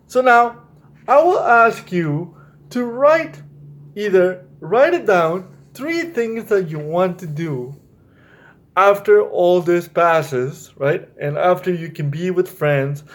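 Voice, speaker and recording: Male children's storytime host, higher voice with very lively pitch, speaking straight to kids and checking they follow. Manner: addresses children directly, often checks with "right?". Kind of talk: explaining to children, read-aloud storytelling.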